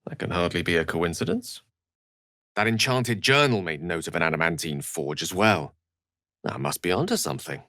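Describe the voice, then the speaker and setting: A scholarly wizard of middle years in a fantasy video game. His lowish voice moves through a lively range of pitch, with ornate, theatrical phrasing and a wry, learned temper. The recording is close and dry, with no room sound. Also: moderate pace, no room sound, heavily acted. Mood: measured, wry. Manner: articulate, theatrical, verbose phrasing. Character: eloquent, wry, scholarly male wizard adventurer.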